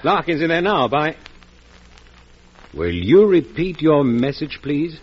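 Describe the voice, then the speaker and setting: A smooth yet excitable financier in a vintage radio play. The voice is rather high and husky, with big swings of pitch, on a dull old recording. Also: male voice, slow, heavily acted. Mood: anxious. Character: smooth, excitable male Wall Street gold speculator. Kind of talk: old-time radio drama acting.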